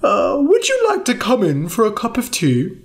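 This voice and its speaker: A storyteller voicing a volatile, soft-hearted male dragon outlaw who swings from threats to tears. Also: medium pitch, wildly swooping pitch, moderate pace, gravelly, pitched above the performer's own voice. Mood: teary gushing. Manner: swings between menacing and teary gushing. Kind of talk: storybook reading.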